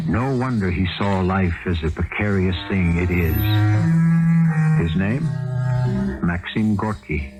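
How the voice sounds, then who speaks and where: A man hosting a 1970s radio drama, reading dramatic scripted narration in a solemn, measured way. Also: lowish voice, poor audio. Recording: 1970s radio broadcast with music.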